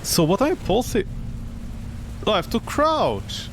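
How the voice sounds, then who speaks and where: Guy streaming a game, sarcastic, voice kinda high and really swingy, some noise behind. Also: wildly swooping pitch, measured pace, vocal fry, fairly bright tone. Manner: sarcastic, exclamatory, talking to himself. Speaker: gaming streamer. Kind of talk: live game commentary with sarcastic reactions.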